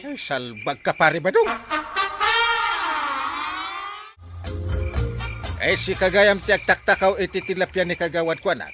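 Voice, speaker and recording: An actor playing an excitable, scolding middle-aged village captain with an Ilocano accent in a radio comedy drama. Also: male voice, sits high, brisk, music in the background.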